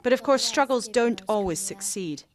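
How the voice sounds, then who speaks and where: A woman reporter delivering a scripted, measured news narration with a faintly wry edge. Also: on the high side, lively intonation.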